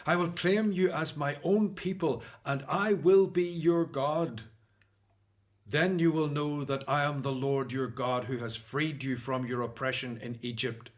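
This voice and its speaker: An actor playing an earnest, devout Hebrew prophet and leader in a radio Bible drama, with a solemn, declamatory manner. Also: male voice, fairly high pitch, steady tone, a little slow, close to an everyday voice. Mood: solemn, pleading.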